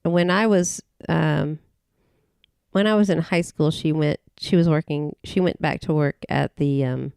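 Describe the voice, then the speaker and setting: Low gravelly-voiced middle-aged woman. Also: darkish tone, no room sound, clean studio sound. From Tennessee. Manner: rambling, halting with many restarts and fillers. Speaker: podcast guest. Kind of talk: casual interview conversation.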